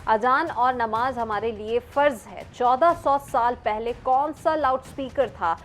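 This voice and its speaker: Female news anchor, slightly high voice, live bulletin presenting style. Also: unhurried, slight background noise.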